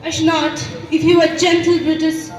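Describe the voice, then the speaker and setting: A very high, youthful voice from a young woman acting an insistent Roman noblewife, pleading formally on stage. Music plays behind, and the sound is echoey. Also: little pitch movement, moderate pace, darkish tone, distant mic. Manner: pleading, declamatory. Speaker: school play actor. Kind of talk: school stage play acting.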